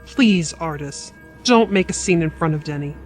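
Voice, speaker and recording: A woman's voice: sits low, normal speed, darkish tone, soft background music, natural voice. An actress playing a stern, coldly resolute wife and mother in an audio drama, firm and commanding. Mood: tense.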